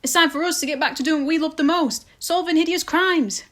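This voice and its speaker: A woman's voice: sits high, a little fast, lightly acted. A woman playing an eccentric, upbeat private investigator and aunt in an audio drama.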